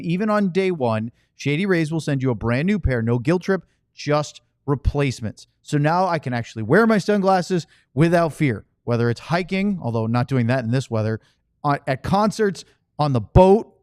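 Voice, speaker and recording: A man's voice: fairly high pitch, darkish tone, no room sound, clean studio sound. A man hosting a sports podcast, moving through segues and sponsor reads in a casual conversational style. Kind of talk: casual ad read and show hosting.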